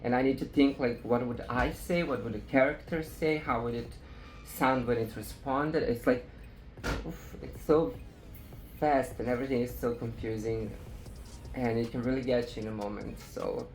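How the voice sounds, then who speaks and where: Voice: mid-range voice, steady tone, moderate pace, faint music behind. A drag competitor in a confessional interview, hesitating and searching for words.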